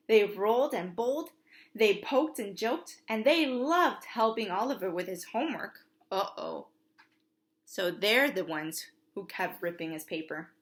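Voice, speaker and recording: A woman's voice: mid-range voice, a bit bright. A woman preschool teacher reads a storybook aloud for young children, expressively, with simple asides to her listeners.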